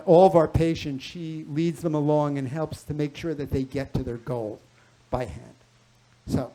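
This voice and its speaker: A man hosting a health panel at a clinic event, asking questions haltingly with many fillers and restarts. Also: on the high side, dark tone, low-quality recording. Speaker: panel host, clinic doctor. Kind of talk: moderating a live health panel.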